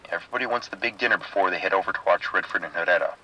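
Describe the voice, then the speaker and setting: A man playing a harried but good-humored casino kitchen chief in an audio drama, wry and informal, with a husky voice. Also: deep voice, very fast, sharp tone, rough recording. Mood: wry, weary. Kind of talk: scripted horror audio drama radio exchange.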